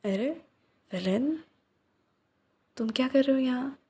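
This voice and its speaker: A woman speaks slowly and softly to an unseen listener, teasing him gently as a young flower shop owner. Her voice sits a little high but sounds mellow and dark, with a gravelly fry under it. Now and then she sinks into breathy whispers. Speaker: voice actress in a listener roleplay. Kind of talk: ASMR roleplay, one side of a conversation.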